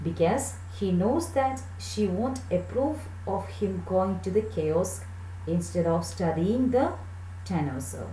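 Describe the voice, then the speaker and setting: Female teacher, deliberate and slow, explaining to pupils; roomy sound, faint noise. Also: medium pitch, expressive, warm tone. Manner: deliberate, checking questions to pupils. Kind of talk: English lesson for children, reading text aloud and explaining. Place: small plain room indoors. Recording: roomy echo with slight background noise.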